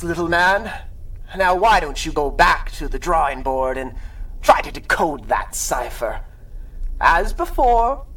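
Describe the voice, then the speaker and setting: High, theatrical gloating supervillain. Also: male voice, a bit bright, recorded from a distance, full character voice, poor audio. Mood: taunting, gleeful. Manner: mocking, drawn-out, theatrical, frequent cackling. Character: gloating sadistic male supervillain, a theatrical maze master. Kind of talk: radio drama acting in 1930s pulp style.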